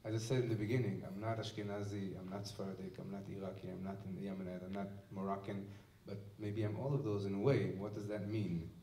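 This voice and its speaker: Israeli man, onstage lecturer, mellow tone, picked up from far off in an echoey room, halting and reflective delivery. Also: on the low side, level tone, slight background noise. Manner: thoughtful, searching for words with many restarts. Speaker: musician and lecturer. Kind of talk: onstage talk to an audience. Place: museum event space with audience. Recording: echoey room heard from a distance.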